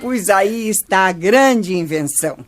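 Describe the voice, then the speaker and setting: A woman's voice: medium pitch, a little slow, natural voice. A woman playing a patient, wise old grandmother who explains things to children in a radio play. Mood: enthusiastic, instructive. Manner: didactic, expressive storytelling. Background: music.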